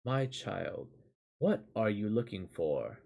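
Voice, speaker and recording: A man voicing a courteous little dwarf gatekeeper from a storybook, speaking in a measured, formal, polite way. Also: on the low side, lively intonation, creaky, mellow, exaggerated voice.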